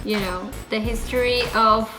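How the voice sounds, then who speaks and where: A woman from Colombia with a Colombian accent and Spanish as her first language, chatting casually and excitably to viewers as a vlog host. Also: on the high side, moderate pace, soft background music, rough recording.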